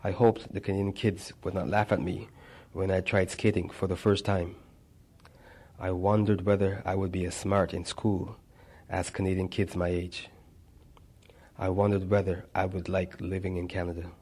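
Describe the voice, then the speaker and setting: A man reads a personal memoir aloud in a measured way. His voice sits low and stays flat in tone, and he stumbles and restarts now and then. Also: slightly rough. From Barbados. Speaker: narrator.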